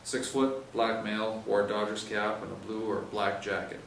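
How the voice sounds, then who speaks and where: A man's voice: fairly low pitch, flat delivery, moderate pace, creaky. A man voicing a stern, no-nonsense police sergeant giving orders with clipped authority, in an audiobook-style story reading. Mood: stern, commanding. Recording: roomy, distant mic, faint noise.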